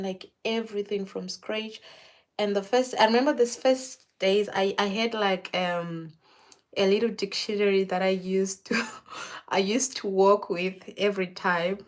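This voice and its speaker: A chatty woman from Zimbabwe, talking with a client as she works on her hair. Her pitch sits in an ordinary middle range for a woman. She loops back and repeats words as she restarts her sentences, in an easy, conversational way. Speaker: hairstylist. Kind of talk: casual chat while doing hair.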